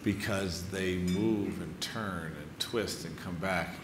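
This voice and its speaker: Low-pitched, admiring man in echoey hall. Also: slow pace. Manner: admiring, emphatic, repeats words for effect. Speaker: on-stage interviewer. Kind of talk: literary conversation with quoted passages. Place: live stage event before an audience. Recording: echoey hall with clear background noise.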